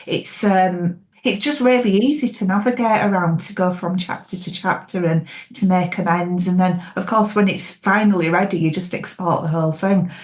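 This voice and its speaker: A woman with a Yorkshire accent chats warmly as an interview guest, her voice sitting a little low. She talks with plenty of ums and laughs easily. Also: normal speed, hardly any pauses. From Yorkshire, England. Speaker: author guest. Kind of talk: casual interview chat.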